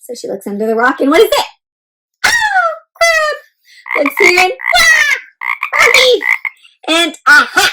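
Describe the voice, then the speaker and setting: Female children's read-aloud host: high register, lively swooping intonation, questions and exclamations aimed at young listeners. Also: measured pace, pauses often. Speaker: host reading a picture book aloud to children.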